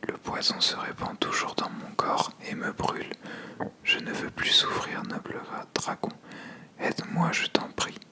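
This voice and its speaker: A storyteller voicing a small, gentle, trusting male songbird in a whispered fable. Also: a little slow. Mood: dying, sorrowful.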